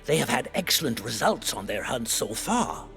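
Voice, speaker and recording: A man's voice: mid-range voice, sing-song, normal speed, creaky, pushed and bright, faint music behind, exaggerated voice. A man playing a self-assured, scheming council member in a fantasy game, speaking persuasively. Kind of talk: dramatic fantasy game cutscene acting.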